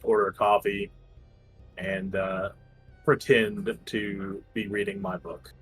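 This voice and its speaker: A man's voice: fairly low pitch, little pitch movement, slow, breathy edge, soft background music, low-quality recording. A man talking casually as a tabletop game player, hesitantly thinking aloud about his moves.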